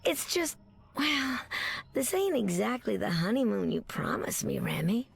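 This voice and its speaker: Tough flirty superheroine, American Southern drawl, creaky edge, animated melody, video game. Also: female voice, fairly high pitch, a little slow, exaggerated voice. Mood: wistful, teasing. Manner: drawling, folksy, teasing. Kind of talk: video game character voice acting.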